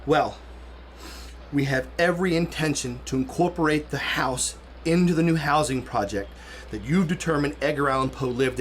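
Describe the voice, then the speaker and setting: Actor as evasive, higher-voiced city bureaucrat. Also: male voice, background noise, natural voice. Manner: polite, measured, placating. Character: smooth, evasive 1930s male city planning bureaucrat. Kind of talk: period radio drama acting.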